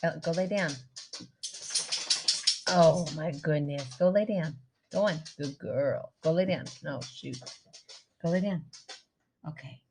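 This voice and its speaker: A woman's voice: deep voice, slow pace, pauses often, very noisy. A woman co-hosting a podcast in casual comedic chat, playful, self-mocking and giggly. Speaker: podcast co-host.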